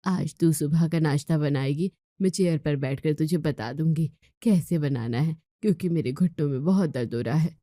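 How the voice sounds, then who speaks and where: A woman's voice: low voice, normal speed, hardly any pauses, dark tone, dry recording, clean studio sound, voice pushed down, lightly acted. A woman voicing a weary, firm middle-aged mother-in-law who scolds in a family storybook tale. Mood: weary, commanding.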